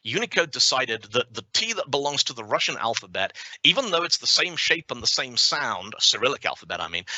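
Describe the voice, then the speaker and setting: A British man giving a conference talk with a live demo, wry and self-deprecating, full of quick asides. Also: mid-range voice, bright, forceful tone, dry recording, poor audio. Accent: British English. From Britain. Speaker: conference speaker.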